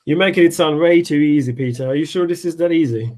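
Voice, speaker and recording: A man explaining things in a measured, conversational way as a coach in a live training session. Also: on the high side, darkish tone. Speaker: property investment coach.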